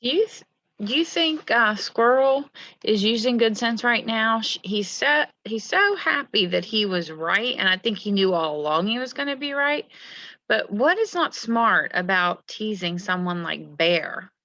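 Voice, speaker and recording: A woman with a bright, forceful voice and a noticeable creak guides children through a read-aloud. She explains as she goes and keeps putting questions to the listeners, in the manner of a teacher. The recording is very dry and a little dull. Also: mid-range voice, animated, unhurried, no echo. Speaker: teacher reading a picture book aloud.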